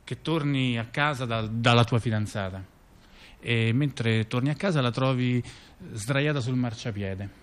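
A man's voice: medium pitch, measured pace, smooth. A man telling a story conversationally on stage, repeating words, stumbling and throwing in rhetorical questions. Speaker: performer in a two-person theatre dialogue. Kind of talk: conversational storytelling to an audience member.

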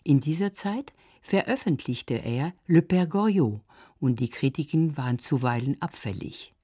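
A woman's voice: deep voice, lively intonation, unhurried, mellow, slightly rough, no echo, clean studio sound. A woman reading scripted narration for a radio feature. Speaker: documentary narrator.

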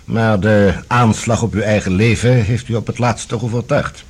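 Military intelligence chief, deep-set pitch, heavily husky, composed, formal, firm, radio play. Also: male voice, fairly even intonation. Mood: composed, authoritative. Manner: measured, formal, courteous but firm. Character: composed, authoritative male general, head of security service. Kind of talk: Dutch radio drama acting.

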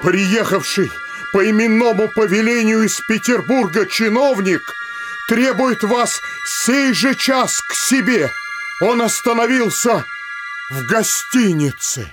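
High-voiced, formal proclaiming gendarme. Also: male voice, expressive, unhurried, music in the background. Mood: solemn. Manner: declaiming, official. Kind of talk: theatrical radio play announcement.

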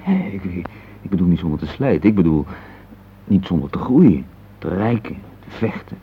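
Muffled, low-voiced, reflective middle-aged husband. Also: male voice, sing-song, moderate pace. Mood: earnest, gentle. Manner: hesitant, pondering, searching for words. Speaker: radio drama actor. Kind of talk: intimate radio play dialogue. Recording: muffled phone-like old radio recording, hissy.

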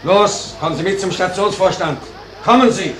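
An actor playing a stern, officious railway conductor in a radio play, insistent and threatening. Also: male voice, sits high, normal speed, a bit bright, slight background noise.

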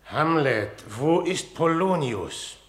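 A man's voice: on the high side, animated, takes it slowly, fairly bright tone. Echoey, commanding middle-aged king. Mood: stern demanding. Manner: formal, commanding. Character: stern, calculating king, middle-aged stepfather. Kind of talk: German radio play of classical drama. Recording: echoey, reverberant room.

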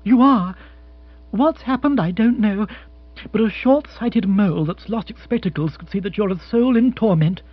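A man's voice: high voice, moderate pace, pitched above the performer's own voice. A man voicing a spirited, outspoken young Englishwoman in a comic audiobook, scolding emphatically with indignation. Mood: reproachful. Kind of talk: comic audiobook character acting. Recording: muffled recording with hum.

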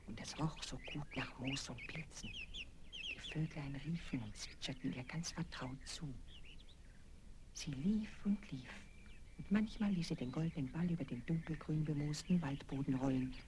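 A woman narrating a fairy tale for children in an expressive, measured storytelling manner. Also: low-pitched, music in the background.

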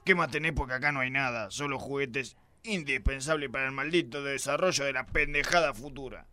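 A man acting a crude, bigoted, corrupt male police commissioner in an amateur radio play, barking insults in a gravelly voice. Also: mid-range voice, a little fast, sharp tone. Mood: gruff rant. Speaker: radio drama actor.

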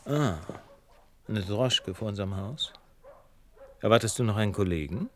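An actor playing an urbane, observant gentleman detective, courteous and self-assured, in a radio play. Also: fairly low pitch, expressive, moderate pace, a little hiss or hum. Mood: assured, playful.

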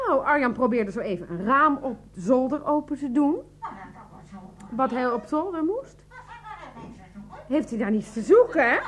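An actress playing a sarcastic, exasperated Dutch wife who is quick to rage, in a radio drama. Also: female voice, sits high.

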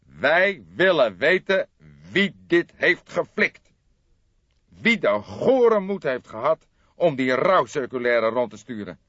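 A man acting an indignant, menacing gangster, raised pitch. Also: very animated, a bit bright, dry recording, highs cut off, full character voice. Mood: angry. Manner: agitated, emphatic, deliberate, threatening. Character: violent gangster nephew, indignant, menacing, formal Dutch man. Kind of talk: dramatised audiobook character reading.

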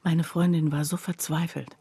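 An actress playing a skeptical woman confiding about her friend in a radio play, her voice husky and breathy and her delivery hushed. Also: deep voice, flat delivery, normal speed, no room sound, heavily acted. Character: skeptical female friend recounting her friend's odd behaviour. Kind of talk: literary radio play narration.

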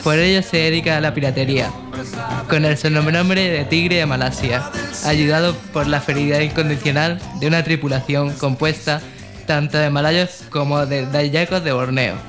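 A man's voice: on the high side, steady tone, moderate pace. A man from co-presenting a radio show. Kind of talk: radio chat and scripted summaries. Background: music, guitar under the voice.

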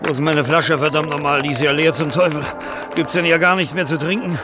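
An actor in a German radio drama playing a boozy, stubborn, faded writer, rambling and grumbling in a theatrical, tipsy way. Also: male voice, on the high side, steady tone, a little fast, noisy background, natural voice. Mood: cold, thirsty. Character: boozy, stubborn, faded older writer, self-pitying and belligerent.

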